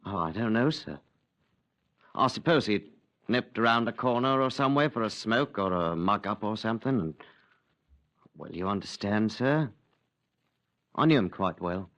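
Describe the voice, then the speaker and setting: An actor playing an evasive former fire watcher under police questioning in a 1950s radio drama, hesitant and trailing off. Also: male voice, fairly low pitch, lively intonation, moderate pace, pauses often, a bit bright.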